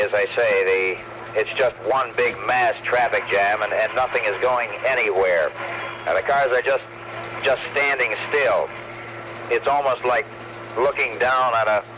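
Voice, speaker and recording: A male actor plays a rattled field reporter describing a city falling apart in a radio drama. He is awed and uneasy, stammering and repeating words as he reports live. His medium-pitched voice arrives over a noisy outdoor two-way radio link. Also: lightly acted. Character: shaken, awed radio field reporter watching a city's collapse.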